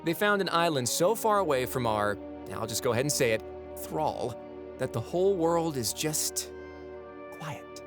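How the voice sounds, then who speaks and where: A man's voice: fairly high pitch, animated, a little slow. A man acting as a knowing storyteller in a fantasy audio drama, confiding in the listener in a conversational way. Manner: confiding, addresses the listener directly. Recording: music behind the voice.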